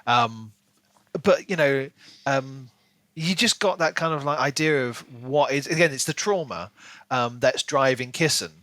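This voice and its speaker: Bright-voiced man rambling on a podcast. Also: mid-range voice, a little slow, no echo. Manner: rambling, talks in long runs with many "you know"s. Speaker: podcast co-host. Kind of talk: casual book discussion.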